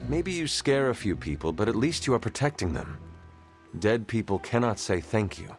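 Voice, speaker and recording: A stoic supernatural warrior, speaks in a lowish voice over prominent game music. The lines come out formal and measured, with dry curiosity. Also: male voice, sing-song. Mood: curious, reassuring. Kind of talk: video game dialogue acting.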